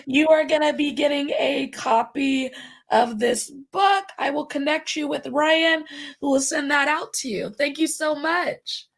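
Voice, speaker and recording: A woman with a raspy voice, hosting a podcast live stream in a bubbly, chatty way with many fillers. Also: sits high, steady tone, unhurried. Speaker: podcast host. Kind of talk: casual live-stream chat.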